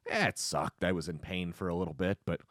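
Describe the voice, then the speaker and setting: A man's voice: deep voice, fairly even intonation, a little fast, fairly bright tone, no room sound, clean studio sound. A man in his twenties hosting his own opinionated political commentary in a sarcastic, mocking way. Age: 20s.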